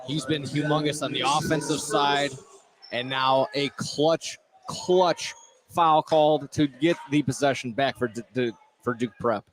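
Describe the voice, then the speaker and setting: A man's voice: fairly high pitch, steady tone, unhurried, crowd noise, rough recording. A man giving live basketball analysis on radio as color commentator, chatty and often rephrasing his points.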